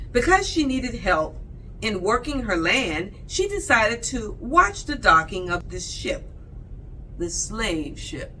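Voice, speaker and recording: Bright, lively woman reading a storybook slowly. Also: medium pitch, slight background noise, poor audio. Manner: deliberate, dramatic pauses between phrases. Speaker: storyteller reading a picture book. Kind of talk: expressive read-aloud storytime narration.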